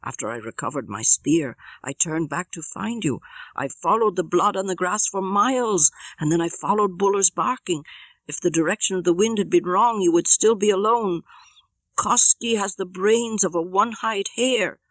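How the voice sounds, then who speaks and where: A woman's voice: mid-range voice, moderate pace, fairly bright tone, dry recording, voice pushed up, low-quality recording. A woman voicing a proud, protective East African warrior in an audiobook, solemn and formal. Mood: indignant. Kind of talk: audiobook character reading.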